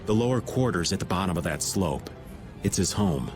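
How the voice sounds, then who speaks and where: A relaxed, wisecracking older man from a video game, drawling his lines with lots of up-and-down swing. Clear game music plays underneath. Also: deep voice, moderate pace. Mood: easygoing. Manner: easygoing, teasing drawl.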